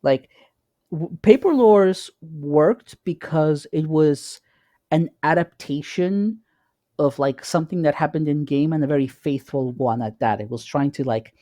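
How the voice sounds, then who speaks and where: A man co-hosting a podcast, speaking casually in a measured, explanatory way as he analyses and asks questions. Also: on the high side, dark tone, dry recording, clean studio sound. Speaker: podcast co-host.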